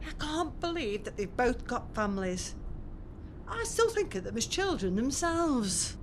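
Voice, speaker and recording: A woman's voice: fairly high pitch, big pitch swings, unhurried, noisy background. An actress playing a wistful, nostalgic elderly widow and mother in a naturalistic audio drama. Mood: fond.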